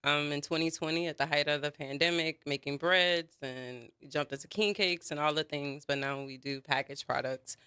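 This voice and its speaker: Soft-spoken New Orleans woman chef. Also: low voice, steady tone, moderate pace, few pauses, clear, resonant tone. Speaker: chef and food business owner, guest. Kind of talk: radio interview.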